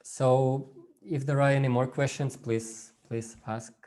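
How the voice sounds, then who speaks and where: Man presenting a workshop, native russian speaker, halting, lots of fillers, video call. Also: mid-range voice, fairly even intonation, a little mellow. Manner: halting, many fillers and restarts. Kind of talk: informal online workshop talk.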